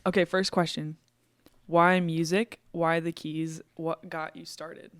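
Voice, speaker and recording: A woman in her twenties from Minnesota, telling stories in a casual interview with an animated, laughing manner. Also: low voice, takes it slowly, clear, resonant tone, dry recording, clean studio sound. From Minnesota, USA. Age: 20s. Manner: animated storyteller, laughs easily. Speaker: illustrator guest.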